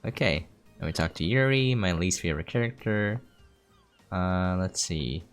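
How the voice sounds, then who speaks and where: A woman's voice: a bass voice, lively intonation, moderate pace. A woman giving casual gameplay commentary as the host of a game preview. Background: game music.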